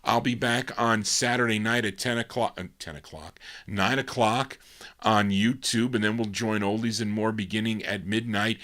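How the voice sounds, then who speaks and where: A man's voice: lowish voice, steady tone, normal speed, a bit bright. A male radio host chatting casually and rambling, with a tired voice he says he is losing. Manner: rambling, self-mocking, many restarts. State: losing his voice, dry throat. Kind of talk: casual on-air chat, sign-off.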